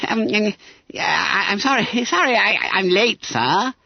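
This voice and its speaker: A plump, bumbling schoolboy from a comic storybook. The voice jumps high and swings wildly, stammering and spluttering with wounded indignation. The sound is a little dull. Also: male voice, sing-song, a little fast, husky, no echo, full character voice. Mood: nervous stammering.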